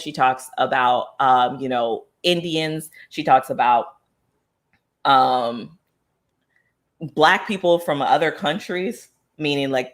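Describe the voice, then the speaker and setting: A man with a fairly high, bright, forceful voice leads a relaxed book club talk. He is from the United States and thinks out loud, restarting sentences and filling pauses. His delivery lurches from excited bursts to slower, considered stretches. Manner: thinks aloud with frequent fillers and restarts; animated, swings between excited and thoughtful. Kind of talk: casual book club discussion.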